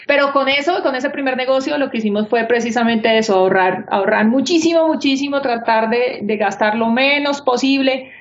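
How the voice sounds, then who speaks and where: A woman's voice: high voice, a little fast, hardly any pauses. A woman, an architect and business owner, answering interview questions earnestly with many fillers. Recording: dull lo-fi sound.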